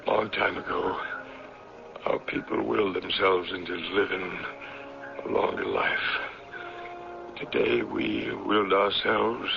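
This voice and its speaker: An actor playing a defiant, dying old leader in a radio drama, speaking haltingly and solemnly in a gravelly voice. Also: male voice, low voice, animated, heavily acted. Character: old captain, leader of a secluded long-lived community, dying defiantly. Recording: muffled old radio recording, music behind.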